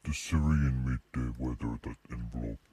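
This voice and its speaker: Menacing demon storyteller, huge pitched-down voice with bass boost and effects, dark and very monotone. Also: male voice. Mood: solemn, menacing. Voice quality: pitched-down, heavy, booming low end. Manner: measured storybook reading. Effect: pitch shifter, bass boost, compression, reverb.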